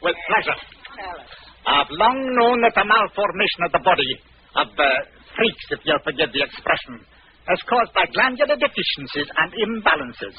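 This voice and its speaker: An actor playing a menacing, imperious mad professor in 1940s radio drama style, with pedantic, theatrical speech. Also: male voice, sits high, sing-song, normal speed. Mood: gloating, clinical. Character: sinister mad male professor, menacing and gleeful about experiments. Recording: dull, noisy old radio recording.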